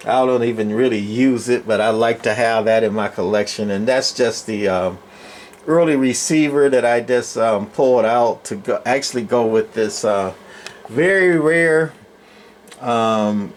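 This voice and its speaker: Male hobby collector, mid-pitched, informal show-and-tell delivery, meandering and halting, some background noise. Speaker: collector presenting his radio collection. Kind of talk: casual unscripted show-and-tell.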